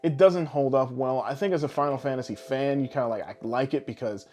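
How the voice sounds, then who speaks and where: A man's voice: mid-range voice, a little fast, darkish tone. A man co-hosting a casual podcast, talking in an emphatic, exasperated and profane way. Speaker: podcast host. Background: guitar music behind the voice.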